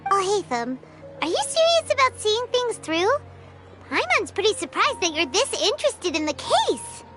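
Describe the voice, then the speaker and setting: A little childlike fairy sidekick from a video game. The voice is extremely high, squeaky and very animated, easily exasperated, with prominent music playing behind it. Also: female voice, normal speed. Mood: curious, puzzled. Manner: refers to self in third person. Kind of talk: video game character acting.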